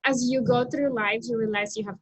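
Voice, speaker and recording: A woman in her thirties who grew up in Colombia talks over a laptop mic from a room at home. Her voice sits on the high side, and the sound is dry and close. She talks with eager energy and stops to restart her sentences often. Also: steady tone, brisk, husky, dry recording. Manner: enthusiastic, many restarts and fillers. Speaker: guest, technology scout. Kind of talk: video call interview. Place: home room with window, indoors. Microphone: laptop webcam mic on video call.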